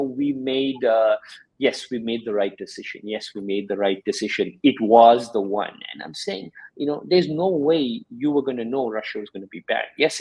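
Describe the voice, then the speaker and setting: Husky-voiced man coaching with conviction. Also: mid-range voice, a little mellow, rough recording. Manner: reflective, explains with examples. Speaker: sports scientist and performance coach. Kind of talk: interview answers.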